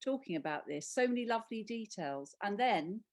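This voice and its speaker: A woman's voice: mid-range voice, expressive, a little fast, a little mellow. A woman moderating a public conversation, relaying questions in an informal, chatty way and often breaking off mid-thought. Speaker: interviewer, event moderator.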